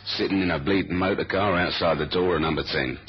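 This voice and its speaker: An actor playing a laconic, wry British secret agent with a London accent in a 1970s radio spy drama. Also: male voice, low-pitched, fast talker, close to an everyday voice. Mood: wry, deadpan. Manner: deadpan, informal. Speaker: actor and first-person narrator. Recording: dull old radio recording.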